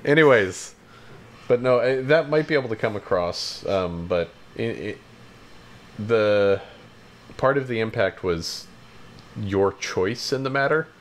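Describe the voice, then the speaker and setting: A man's voice: fairly low pitch, expressive, a little slow, long pauses. A man co-hosting a casual podcast about games and films, with a blunt, informal way of talking. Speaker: podcast co-host.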